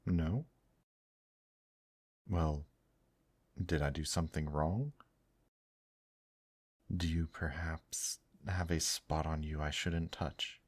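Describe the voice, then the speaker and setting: Man acting a very deep, husky, gentle demon. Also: no room sound, heavily acted. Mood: concerned. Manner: soft, tender, reassuring, unhurried. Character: gentle male demon, soothing, teasing, protective companion. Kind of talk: intimate ASMR roleplay addressed to the listener.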